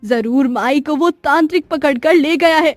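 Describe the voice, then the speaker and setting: A woman voicing a kindly, fearful village auntie in a children's cartoon story, speaking gravely and pleadingly. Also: sits high, moderate pace, dry recording, faint music behind, close to an everyday voice.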